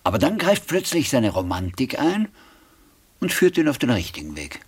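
An actor playing an eccentric judge in a German radio drama, with a husky voice and pointed delivery. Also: male voice, medium pitch, huge pitch swings, moderate pace. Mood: pointed, wry. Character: Basil, eccentric male judge dryly judging his brother.

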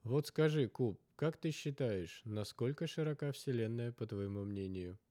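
Man voicing a mellow, inquisitive adventurer. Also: medium pitch, moderate pace, no echo, natural voice. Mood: probing. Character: inquisitive, persistent male adventurer questioning his companion.

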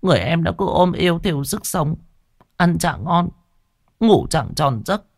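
A man voicing a shrill, scheming middle-aged stepmother who screeches and wails. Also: very animated, unhurried, gravelly, no echo, clean studio sound, heavily acted. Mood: wheedling. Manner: screeching, wailing, scolding.

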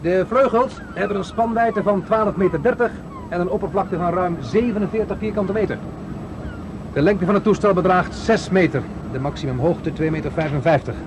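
Exacting machine-builder, raised pitch, methodical explaining, radio play with noisy outdoor backdrop. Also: male voice, moderate pace. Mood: precise, explanatory. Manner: precise, technical explaining. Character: precise, proud male inventor showing his machine. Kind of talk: 1970s Dutch radio drama acting. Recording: old radio play, loud outdoor noise effects.

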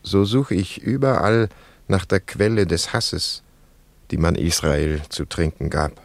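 An actor playing a brooding man searching for a murderer in a poetic radio play, speaking in a measured, solemn, questioning way. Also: deep voice, steady tone, vocal fry, no room sound. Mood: searching, pained. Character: brooding, searching mourner hunting a child's murderer.

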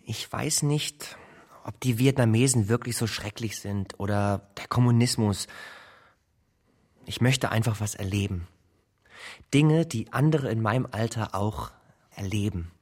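Quiet, husky young son. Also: male voice, on the low side, measured pace. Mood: wistful. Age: young adult. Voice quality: breathy, husky. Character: Patrick, dutiful, restless young son caring for mother. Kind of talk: naturalistic German radio drama acting.

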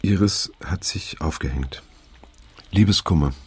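An actor playing a weary, reflective middle-aged man in naturalistic radio drama, speaking haltingly and pensively with a gravelly, husky voice. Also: low-pitched, a little mellow, heavily acted. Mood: resigned, somber. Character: weary middle-aged male survivor of a hippie circle, reflective.

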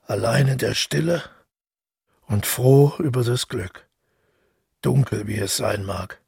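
An actor playing a weary, solitary murderer who calmly recalls his deed in a reflective radio drama monologue. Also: medium pitch, slow, no room sound, studio quality, full character voice. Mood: wistful, subdued. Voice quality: husky, gravelly.

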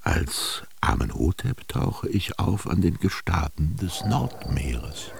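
An actor playing a solemn, brooding ancient Egyptian royal scribe in a radio drama, declaiming deliberately and theatrically. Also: male voice, low voice, sing-song, vocal fry, soft background music, exaggerated voice. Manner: deliberate, declaiming, theatrical, swinging between murmur and proclamation. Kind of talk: literary radio drama monologue.

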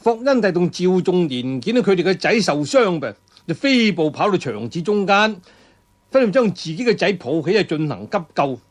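A man's voice: high voice, big pitch swings, moderate pace. A man with a Cantonese accent narrating a martial arts tale in traditional storytelling style, dramatic and emphatic. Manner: dramatic, emphatic delivery with exclamations and sound words.

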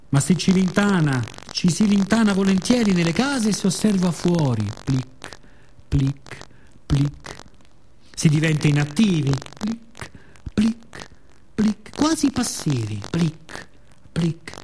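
Theatrical monologist, man, noisy recording. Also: mid-range voice. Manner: expressive, with playful spoken sound effects.

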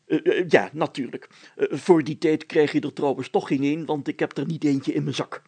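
A man's voice: on the high side, steady tone, moderate pace, dark tone, breathy edge, voice pushed up. A man voicing a pompous, solemn village mayor in a storybook, speaking in a formal, declamatory and long-winded way. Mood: flustered. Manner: pompous, declamatory, formal, stammering when flustered.

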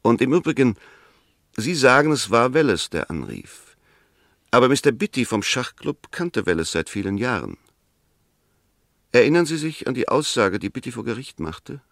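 A man's voice: medium pitch, big pitch swings, normal speed, pauses often, fairly bright tone, no echo, studio quality. A man acting an insistent, courteous but sceptical defence lawyer in a 1960s German radio drama, precise and argumentative. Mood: insistent, reasoning. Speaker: actor in a radio play.

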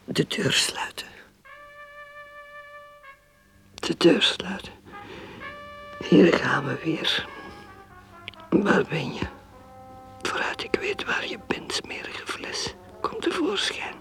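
Embittered, driven career mother in a radio play: intense, unhurried, very expressive intonation. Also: lowish voice, vocal fry, soft background music, heavily acted, low-quality recording. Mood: whispered brooding, then bitter. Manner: intense, dramatic, swinging between speech and whispered brooding. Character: bitter, ambitious middle-aged career mother who drinks. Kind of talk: radio drama acting, dialogue and inner monologue.

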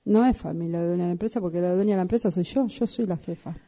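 A woman acting as a bossy, scornful workshop boss in an improvised radio drama, curt and quick to interrupt. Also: fairly low pitch, mellow, close to an everyday voice. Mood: cold, insistent.

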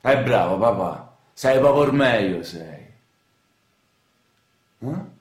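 A man's voice: mid-range voice, lively intonation, slow, echoey room. An actor playing a bitter, boastful young working-class man from Rome's outskirts, with a Roman accent and a coarse, taunting way of talking, in a naturalistic radio drama. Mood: bitter, sarcastic. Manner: coarse, taunting slangy talk with repeated phrases.

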